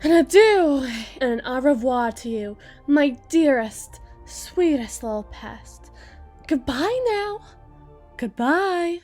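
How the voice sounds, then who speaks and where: A woman's voice: high voice, lively intonation, takes it slowly, darkish tone, faint music behind. A woman playing a menacing, mockingly sweet female mob boss in a dramatic ASMR roleplay, taunting and drawing out her words for emphasis.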